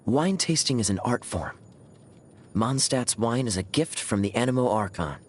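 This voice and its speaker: A man playing a suave, teasing cavalry captain in a video game, with a smooth, theatrical drawl. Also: mid-range voice, expressive, normal speed. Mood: teasing, wry. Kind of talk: video game character voice lines. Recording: studio voice over background music.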